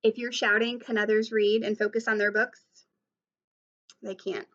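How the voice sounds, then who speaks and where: High-voiced woman reading a story aloud. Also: little pitch movement, moderate pace, a bit bright, dull audio. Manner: explanatory, asks listeners questions. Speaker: teacher reading a picture book aloud. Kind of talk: read-aloud storytelling with asides to children.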